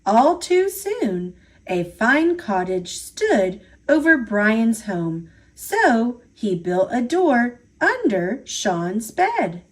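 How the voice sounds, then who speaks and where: A woman reads a children's storybook aloud. Her voice sits fairly high and moves through a very animated range of pitch. She takes her time, giving the story an expressive, unhurried delivery. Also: husky. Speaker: storybook reader.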